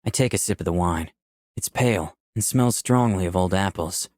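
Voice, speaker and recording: A man's voice: sits low, moderate pace, a little mellow, dry recording, studio quality, natural voice. A man voicing a candid, easygoing young protagonist who narrates a visual novel in the first person. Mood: reflective. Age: young adult. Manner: clear scripted storytelling.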